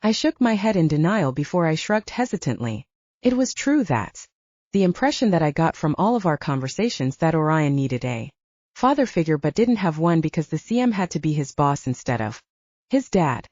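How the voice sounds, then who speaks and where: Woman audiobook narrator, mellow low voice, lively pitch, dry slightly dull recording. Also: moderate pace, no room sound. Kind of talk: first-person audiobook narration.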